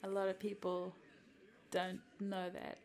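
A South African woman in her twenties whose first language is Afrikaans. She chats to a follower in a giggly, hesitant, soft-spoken roleplay and sometimes drops to a whisper. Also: mid-range voice, completely flat delivery, measured pace. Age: 20s. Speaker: content creator addressing a follower. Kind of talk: roleplay chat to the listener.

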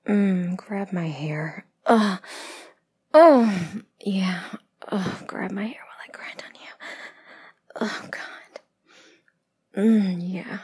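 A woman whispering in a breathy, sultry, teasing voice in an intimate adult roleplay audio, with moans between phrases. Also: mid-range voice, takes it slowly, long pauses, mellow.

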